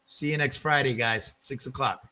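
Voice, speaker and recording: A man's voice: mid-range voice, moderate pace, bright, forceful tone. A man hosting a sports fan podcast, chatting casually and informally with enthusiasm. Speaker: podcast host.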